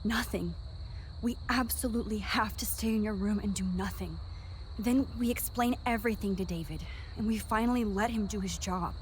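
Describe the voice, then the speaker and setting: An actress playing an earnest, anxious young time traveler in a video game, speaking with an American accent and hesitant, sincere emotion. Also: female voice, mid-range voice, normal speed, slight background noise, natural voice, low-quality recording. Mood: urgent, earnest.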